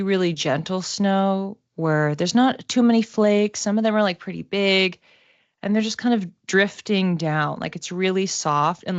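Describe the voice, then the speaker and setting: A woman hosting an oracle card reading in a casual, halting, conversational way, gently reassuring as she improvises. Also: lowish voice, no room sound. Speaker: host giving psychic oracle card readings. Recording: dull, muffled lo-fi sound.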